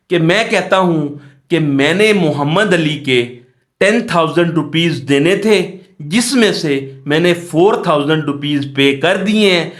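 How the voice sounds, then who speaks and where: A man's voice: on the high side, fairly bright tone. A man with a Pakistani accent giving an explanatory law lecture in a teacherly question-and-answer manner. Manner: asks rhetorical questions and answers them; reads English statute phrases, then explains them in Hindi-Urdu. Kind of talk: explanatory exam-prep lecture.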